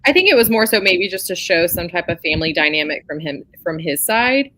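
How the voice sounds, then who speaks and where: A woman's voice: lowish voice, animated, a little fast, bright, forceful tone. A woman chatting casually and informally about romance novels as a co-host of a book club show.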